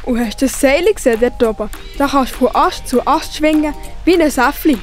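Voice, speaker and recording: A woman's voice: high-pitched, brisk, soft background music, natural voice. A woman with a Swiss accent voicing a playful, eager young male hare in a children's audio play. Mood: excited.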